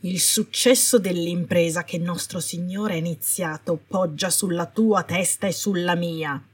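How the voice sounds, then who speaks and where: A cold, indignant healer in an audiobook story, voiced by a woman. The voice is rather low and husky, clipped and commanding, full of accusation and threat. It is recorded in a roomy space. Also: fairly even intonation, a little slow. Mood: cold, threatening. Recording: roomy, distant microphone.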